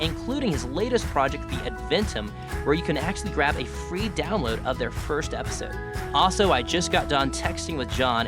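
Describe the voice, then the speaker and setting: A man hosting a podcast, speaking courteously in interview and scripted outro narration. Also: on the high side. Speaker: podcast host.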